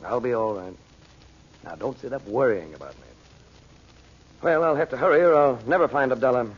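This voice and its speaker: An actor playing a resolute, reassuring magician hero in an old radio drama, earnest and decisive. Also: male voice, mid-range voice, expressive, a little fast, natural voice. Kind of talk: 1940s radio drama acting. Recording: muffled old radio recording, faint noise.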